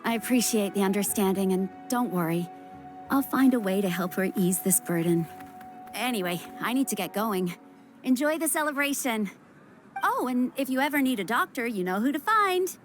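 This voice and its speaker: A woman's voice: fairly high pitch, lively intonation, moderate pace, close to an everyday voice. A woman playing a guarded but caring young healer in an anime-style game. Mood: resolved. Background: game music.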